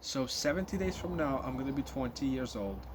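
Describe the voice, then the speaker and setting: A man's voice: mid-range voice, expressive, fairly quick, background noise. Rambling nineteen-year-old man vlogging. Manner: rambling, many fillers and repeated restarts. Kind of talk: casual spoken monologue to viewers.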